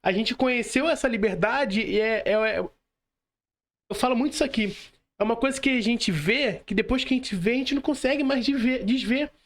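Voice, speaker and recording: A man's voice: very high-pitched, moderate pace. Brazilian man, podcast host, informal conversational delivery. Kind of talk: casual conversational talk.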